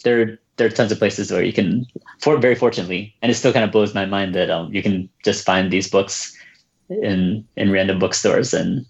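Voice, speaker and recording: Man, reserved, soft-spoken, hesitant with fillers, fairly low voice, dull lo-fi recording. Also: fairly even intonation, normal speed, gravelly. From United States. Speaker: children's book author, guest. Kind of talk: casual interview answers.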